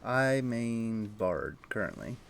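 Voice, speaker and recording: A man streaming a video game, thinking aloud in a low-key, conversational way with casual commentary. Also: big pitch swings, slow, vocal fry, slight background noise. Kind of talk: casual live gameplay commentary and chat.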